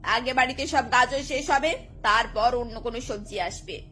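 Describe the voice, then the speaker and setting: A woman's voice: a little high, moderate pace, a bit bright, soft background music, natural voice. A woman voicing a stern, stingy middle-aged mother-in-law in a cartoon, scolding hotly. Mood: angry scolding.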